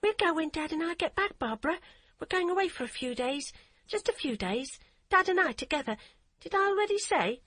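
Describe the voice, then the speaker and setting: A woman's voice: very high-pitched, expressive, brisk, a bit bright, no room sound, voice pushed up. A woman voicing an earnest, anxious eight-year-old girl who talks in an eager rush. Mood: excited. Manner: eager, breathless rush of words.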